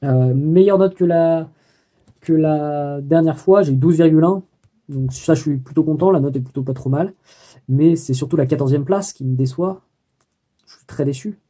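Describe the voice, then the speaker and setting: A young man recaps his day for a video diary, his voice very dark and mellow and sitting a touch high. He talks hesitantly, filling pauses and correcting himself as he goes. The delivery stays casual and personal. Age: young adult. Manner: hesitant, many fillers and self-corrections. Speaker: student vlogger.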